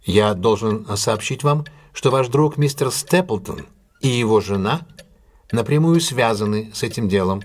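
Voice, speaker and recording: A methodical gentleman detective from a classic mystery audio drama. His voice is fairly low and slightly husky. He lays out his points deliberately, with assured, formal courtesy. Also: expressive. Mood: insistent, measured.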